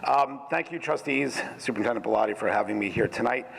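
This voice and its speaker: Middle-aged man, department supervisor, loud and halting, hall echo with faint background noise. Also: mid-range voice, creaky. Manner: loud voice, hesitant with frequent ums. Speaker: social studies department supervisor. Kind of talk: formal presentation to a school board. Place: school meeting hall. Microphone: room microphone.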